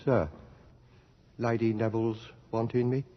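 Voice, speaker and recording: An actor playing a servile but proud working-class hairdresser, defensive and colloquial, in a radio drama. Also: male voice, medium pitch, little pitch movement, unhurried, close to an everyday voice. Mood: anxious, indignant. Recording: muffled, noisy radio drama recording.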